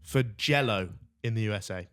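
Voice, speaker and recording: A man's voice: on the low side, moderate pace, fairly bright tone. A man co-hosting a casual comedy podcast, joking around and running a quiz game. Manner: jokey, runs a quiz segment. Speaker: podcast co-host.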